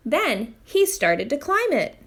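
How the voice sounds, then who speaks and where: A woman reading a children's picture book aloud in an expressive storytime style. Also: high-pitched, takes it slowly, creaky. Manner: expressive read-aloud delivery. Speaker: storytime reader, literacy program manager.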